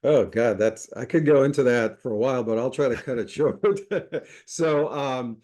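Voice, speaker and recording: A middle-aged man from Colombia talks as a podcast guest in a loose, relaxed way, with plenty of fillers and easy laughter. His voice sits at an ordinary, mid pitch. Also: moderate pace. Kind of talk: casual interview chat.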